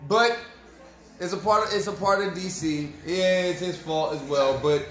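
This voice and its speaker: A man's voice: sits high, normal speed, a bit bright, roomy sound, faint music behind. A young man ranting profanely and with frustration to his viewers in a sports fan vlog, recorded at home in front of a camera. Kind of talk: ranting post-game fan review. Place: room at home, indoors.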